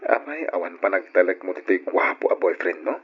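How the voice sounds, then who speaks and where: An actor playing a devoted, sweet-talking young boyfriend with an Ilocano accent, coaxing and playful in old radio drama style. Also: male voice, deep voice, lively intonation, fairly quick, background noise. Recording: dull lo-fi old radio recording.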